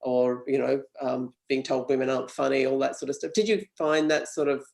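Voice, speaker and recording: Gravelly woman interviewer. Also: a bass voice, fairly even intonation, fairly quick, darkish tone. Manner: hesitant, rephrasing questions. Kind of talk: interview questions.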